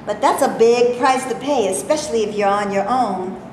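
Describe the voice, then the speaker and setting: A woman playing a weary, sharp-tongued actress with a folksy, emphatic delivery in a staged play reading. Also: a little high, normal speed, lightly acted. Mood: weary, skeptical. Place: indoor room, live staged reading. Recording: echoey room, hissy amateur video.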